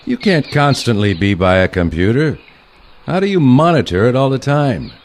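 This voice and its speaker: A man playing a skeptical old-school assassin mentor in a comic audio play, with deadpan sarcasm. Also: medium pitch, normal speed.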